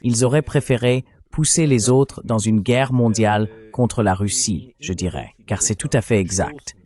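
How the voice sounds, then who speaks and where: A man's voice: fairly low pitch, unhurried, darkish tone. A man acting as interviewer, giving commentary and putting questions in a dubbed interview.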